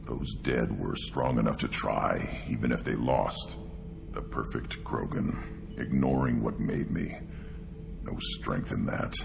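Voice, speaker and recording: An actor voicing a hulking young tank-bred alien warrior in a video game, blunt and scornful, growling out terse, brooding fragments. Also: male voice, rumbling low, slow, gravelly, noisy background, heavily acted. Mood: brooding, contemptuous. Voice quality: guttural, growling. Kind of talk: video game dialogue acting.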